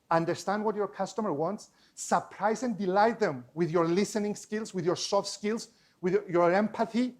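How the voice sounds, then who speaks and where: A man from Cyprus with a Cypriot Greek accent, a native Greek speaker, telling a story in a lively stage talk and acting out the dialogue. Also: high voice. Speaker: public speaker.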